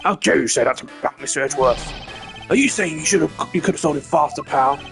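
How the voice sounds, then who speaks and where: A loud, loyal, flustered police detective from a game, played by a man. His voice is high for a man and gravelly, and he blusters earnestly over faint music. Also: quick pace. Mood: indignant. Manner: blustering, stumbling, emphatic.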